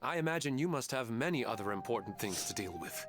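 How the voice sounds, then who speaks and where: An actor playing a grieving widowed father in a game, weary, quiet and polite, with game music behind. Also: medium pitch, lively intonation, fast, slight background noise, close to an everyday voice. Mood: sad. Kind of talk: game character dialogue.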